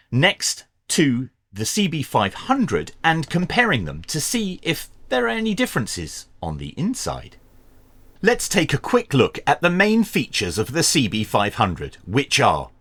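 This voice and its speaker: A man presenting a scripted product review in a measured, dryly humorous presenter's delivery. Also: medium pitch, fairly bright tone. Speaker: radio review channel host.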